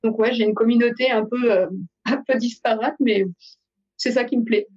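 A woman's voice: on the high side, fairly even intonation, moderate pace, a little mellow, dull audio. A woman from France, a podcast guest, talking casually with many hesitations. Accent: Parisian French. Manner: hesitant, many fillers, laughs easily. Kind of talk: casual conversation.

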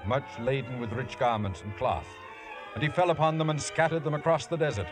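A man's voice: on the low side, lightly acted. A man narrating a biblical tale as a solemn, stately storyteller in 1950s radio drama.

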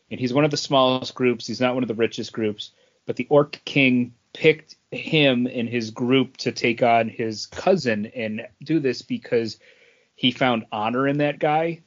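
A man talks through a review as a podcast co-host. His pace is very slow and halting, and he often clears his throat between phrases. The sound is a little dull and lo-fi. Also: mid-range voice. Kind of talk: casual review talk.

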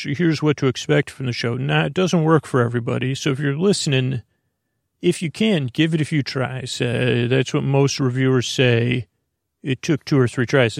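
A man with a gravelly, creaky voice at a middling pitch, recorded close and dry. He rambles like a sleep podcast host, halting and restarting as he drifts into low-key, silly tangents. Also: no room sound. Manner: meandering, halting, often trailing off into tangents; mildly whimsical, low-key silliness. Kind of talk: rambling, unscripted bedtime sleep podcast intro.

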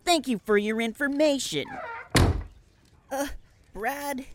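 Meek, slow-talking young henchman. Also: high-pitched, heavy background noise. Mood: awkward, polite. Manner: hesitant, meek, awkward. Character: timid, hesitant, polite young male henchman. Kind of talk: audio drama acting.

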